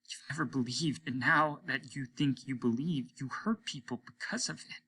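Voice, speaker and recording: A man voicing a calm, gentle, pitying mayor in a story reading, speaking in a measured way. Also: medium pitch, slightly rough, pitched above the performer's own voice. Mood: gently pitying. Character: gentle, pitying, unyielding male mayor of faraway town.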